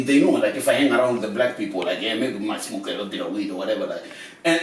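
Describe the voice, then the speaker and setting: A man from Zimbabwe argues his case in a casual podcast discussion, often speeding up as he presses a point. His voice sits at an ordinary middle pitch. He sounds distant from the mic in a roomy space with a faint hiss. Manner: passionate, argumentative, speeds up when pressing a point. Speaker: podcast panelist.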